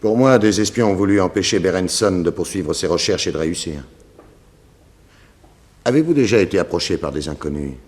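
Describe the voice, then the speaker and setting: Low-voiced, probing detective. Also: male voice, animated, darkish tone. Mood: insistent. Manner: formal, insistent, deliberate questioning. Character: probing, suspicious male detective questioning witnesses. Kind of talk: 1960s French radio detective serial acting.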